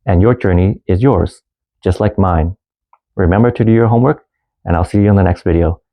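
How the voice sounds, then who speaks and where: A man in his thirties who grew up in Canada, narrating a personal story as a language teacher in a calm, earnest way and mixing two languages. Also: sits low, brisk, narrow, tinny audio. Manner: calm, earnest storytelling, switching between Vietnamese and English. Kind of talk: scripted lesson narration.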